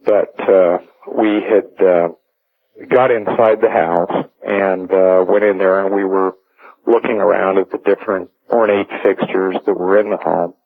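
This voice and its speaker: A middle-aged man with a fairly low voice tells a personal story as a radio caller. He goes deliberately, stopping often to hesitate and gather words. His voice comes through a narrow, phone-like connection. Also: fairly even intonation, gravelly. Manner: halting, deliberate, many long hesitations.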